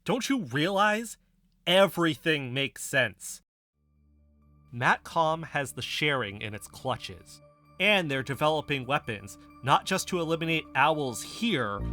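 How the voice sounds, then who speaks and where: An impassioned, bright-voiced conspiracy theorist. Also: male voice, fairly high pitch, full character voice. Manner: emphatic, insistent, building speeches. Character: impassioned male conspiracy theorist, defensive and stubborn. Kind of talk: scripted audio fiction dialogue.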